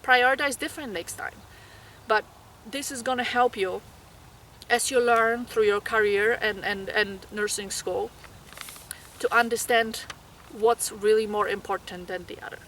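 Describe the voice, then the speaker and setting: A woman with a Polish accent speaks in a bright, clear voice. She gives nursing students earnest, encouraging study advice and makes small slips in grammar. Faint noise sits behind her. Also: fairly high pitch, fairly even intonation, a little slow. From Poland.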